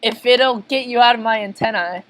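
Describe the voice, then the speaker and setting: A woman's voice: a little high, normal speed, a bit bright, lightly acted, low-quality recording. A woman voicing a small, bossy, exasperated male plankton who runs a restaurant in a cartoon story, commanding and easily flustered. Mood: harried.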